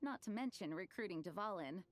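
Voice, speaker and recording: A woman's voice: mid-range voice, animated, normal speed, natural voice. An actress playing a gracious, composed young woman who leads knights in a video game, speaking politely and sincerely. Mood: earnest. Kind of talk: video game character acting.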